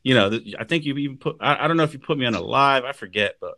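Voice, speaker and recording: A man's voice: mid-range voice, lively intonation, quick pace, bright, forceful tone, no room sound. A man hosting a podcast, talking in a casual, earnest, conversational way. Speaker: podcast host. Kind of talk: casual interview chat.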